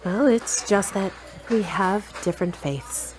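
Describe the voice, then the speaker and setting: An actress playing a self-assured, frank, teasing girlfriend in a radio drama, articulate and measured. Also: mid-range voice, music in the background, natural voice. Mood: frank, serious. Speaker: actor in an audio drama. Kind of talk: scripted radio-play dialogue.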